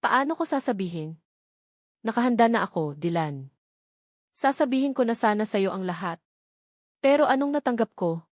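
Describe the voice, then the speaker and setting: A woman's voice: on the high side, lively intonation, normal speed, long pauses, no echo. A wronged mother, as a woman narrator acts her out, speaks with hurt and bitterness. She is emotional and accusing, a romantic-drama heroine. The recording is dry and close. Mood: bitter, hurt. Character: wronged tearful single mother, former secretary, pleading and bitter.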